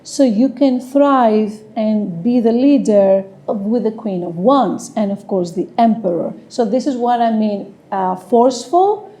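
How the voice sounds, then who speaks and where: Woman, Greek accent, tarot reader, slightly high voice, very slow, hesitant with fillers. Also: warm tone. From Greece. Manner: hesitant, searches for words, frequent "uh". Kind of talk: slow, halting tarot reading with many fillers.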